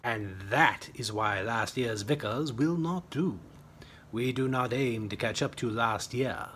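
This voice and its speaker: A man voicing a jaunty, pompous, excitable WWI pilot in a storybook reading, with old-fashioned officer bluster. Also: medium pitch, takes it slowly, a little hiss or hum. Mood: grandly enthused.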